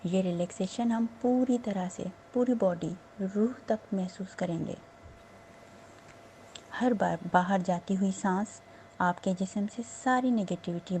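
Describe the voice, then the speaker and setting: A woman with a soft-edged, mellow voice at a medium pitch leads a guided meditation. She gives instructions slowly and soothingly, leaving pauses between phrases. A faint layer of background noise sits behind her. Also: rough recording. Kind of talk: slow guided meditation narration.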